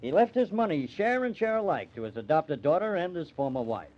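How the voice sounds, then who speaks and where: An actor with an American accent playing a cool, cunning small-town lawyer with a killer's streak in an old-time radio drama. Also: male voice, a little high, very animated, brisk. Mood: assured, accusing. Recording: dull old radio recording.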